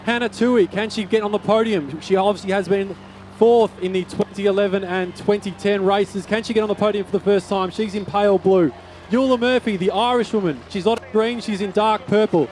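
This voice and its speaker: A man co-commentating a live swim race, briskly running through the competitors outdoors. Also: high voice, a little mellow, slight background noise. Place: outdoors at a beach event.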